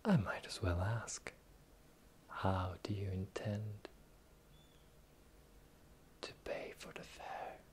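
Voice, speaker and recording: A performer voicing a sly, teasing pirate captain in a slow, breathy, whispered fantasy ASMR roleplay. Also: sits low, fairly even intonation, a little mellow. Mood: sly, bargaining. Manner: teasing, slow, drawling, theatrical.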